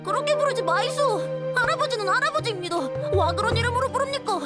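A woman's voice: very high voice, expressive, fast talker, over loud music. A woman voicing an earnest, stubborn young village boy in a dubbed game. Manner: earnest, pleading, insistent. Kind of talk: game dub voice acting.

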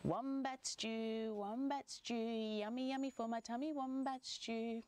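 A woman voicing a cheeky, hungry male dingo in a children's story, gloating in a singsong way with drawn-out words. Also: on the high side, animated, a little hiss or hum. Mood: gleeful, hungry.

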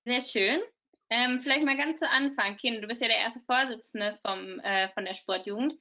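Bright young woman hosting a video call. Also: a little high, normal speed. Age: 20s. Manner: friendly, asks questions. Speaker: podcast host. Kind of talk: video call podcast interview. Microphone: video call from home. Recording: dull lo-fi video call audio.

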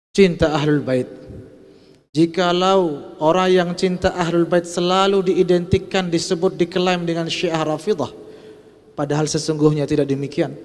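A man in his thirties with an Indonesian accent, preaching a Friday sermon at a pulpit microphone. He chants Arabic quotations and then translates them. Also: fairly high pitch. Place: large mosque hall, at a pulpit. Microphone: gooseneck pulpit mic. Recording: long mosque reverb.

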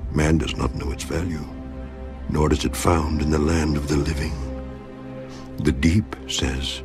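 A very deep, solemn voice of Job, the steadfast sufferer. He declaims each line with rising and falling emphasis, while soft music plays underneath. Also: flat delivery, measured pace. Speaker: voice actor in a Bible audio drama. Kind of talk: dramatized scripture reading.

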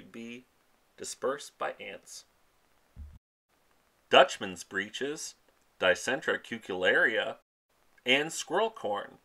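This man reads a picture book aloud in a voice that swoops up and down with lively, sing-song energy. His pitch sits in the middle of a man's range, and he gives each rhyme and plant name a storyteller's lift. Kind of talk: expressive children's read-aloud. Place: outdoors in a spring forest, and a voice-over on book pages.

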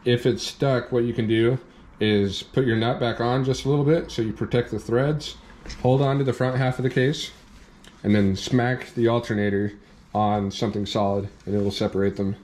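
A young man in a workshop, calmly walking through a hands-on repair step by step, with plain practical talk. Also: medium pitch, normal speed. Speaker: off-road channel host demonstrating a repair. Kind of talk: hands-on how-to explanation. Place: indoor workshop at a workbench.

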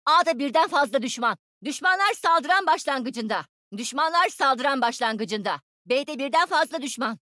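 A bright-minded, smug young woman inventor from a video game. Her voice sits high and jumps about with lively, teasing expression. The sound is dry, with no room to it. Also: dry recording. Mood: focused, alert. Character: cocky young female tech genius, playful and smug.

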